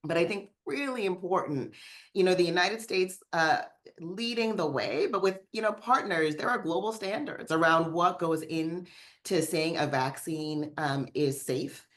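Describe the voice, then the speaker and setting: A woman's voice: on the low side, a little slow. A woman speaking as a panelist in a webinar discussion, conversational, often checking in with "right?".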